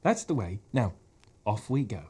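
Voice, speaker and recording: A man's voice: lowish voice, sing-song, a little slow, darkish tone, breathy edge, heavily acted. A man voicing a timid, polite male faun who stammers and repeats his words anxiously. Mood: courteous.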